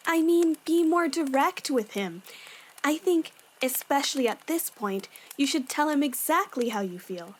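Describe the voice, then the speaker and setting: Woman acting as Sango, high-voiced frank young warrior. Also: expressive, measured pace, a little hiss or hum. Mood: reassuring. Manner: encouraging, frank, big-sisterly. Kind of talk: fan audio drama acting.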